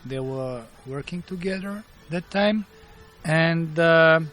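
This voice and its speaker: A man in his fifties from Bulgaria, speaking English with a Bulgarian accent as a martial arts teacher being interviewed, pausing often to search for words. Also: on the high side, fairly bright tone, soft background music. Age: 50s. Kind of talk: interview storytelling.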